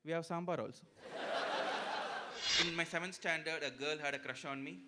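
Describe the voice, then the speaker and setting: A man's voice: fairly high pitch, moderate pace, very noisy. A man with a Tamil Indian English accent performing a stand-up comedy set, with punchy, playful timing. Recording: live stage mic with audience laughter. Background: audience laughter.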